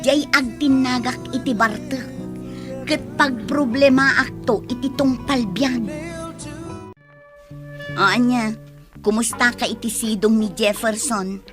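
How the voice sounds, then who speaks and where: A woman's voice: on the high side, steady tone, slow, pauses often. A woman acting a guarded, hesitant young woman being courted in a radio drama. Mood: doubtful. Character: guarded young woman, courted but wary of drinking men. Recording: music under the voice.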